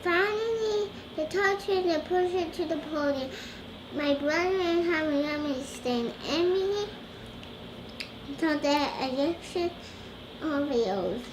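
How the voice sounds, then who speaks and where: High-pitched young girl reading slowly. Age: child. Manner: hesitant, stumbling over words, repeating phrases. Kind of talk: halting read-aloud from a storybook. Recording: roomy echo with clear background noise.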